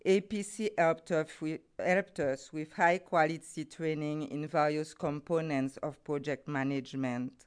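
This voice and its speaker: Slow, careful Haitian-accented woman. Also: sits low, fairly even intonation, clean tone. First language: Haitian Creole or French. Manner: careful, deliberate, formal phrasing. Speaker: guest speaker, NGO program head. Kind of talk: prepared conference talk.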